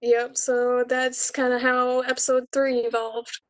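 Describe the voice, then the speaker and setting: A young woman with a fairly high voice answers questions about her own student production. Her intonation is extremely flat, and she explains things in an informal, conversational way. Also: slow. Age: young adult. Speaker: student director presenting a capstone project.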